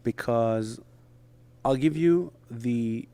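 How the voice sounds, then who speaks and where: Middle-aged israeli guy, hebrew is his first language, talks in choppy bits, lots of restarts, medium pitch. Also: dark tone, no room sound. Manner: halting, short phrases, frequent restarts. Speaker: guest. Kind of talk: conversational interview.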